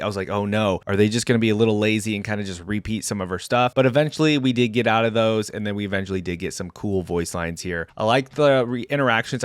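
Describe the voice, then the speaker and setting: A man's voice: mid-range voice, no room sound, studio quality. A man hosting a reaction video, giving casual, informal commentary as he thinks aloud.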